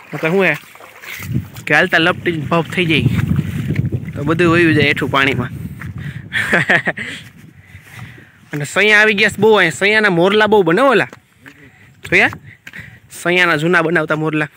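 Bright-voiced man touring. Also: on the high side, a little hiss or hum. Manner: chatty, pointing things out as he walks. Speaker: village vlogger. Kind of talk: casual guided tour. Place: outdoors at a riverside temple.

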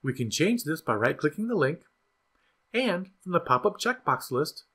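A man voices a scripted product tutorial with a slightly raised pitch and a husky edge. His delivery is measured and instructional, with lively pitch movement that keeps each step clear. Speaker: product demo narrator. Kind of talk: scripted software tutorial voice-over.

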